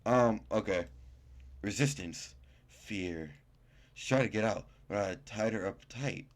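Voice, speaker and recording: A man's voice: on the low side, unhurried. A man reading a visual novel aloud as a gaming YouTuber, in a casual manner.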